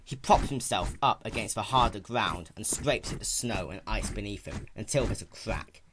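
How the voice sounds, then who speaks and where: A man's voice: fairly low pitch, moderate pace. A man reading fantasy fiction aloud as a dramatic, intense narration. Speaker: amateur story reader. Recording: clear steady ambient sound-effect noise behind. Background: continuous ambient sound effect.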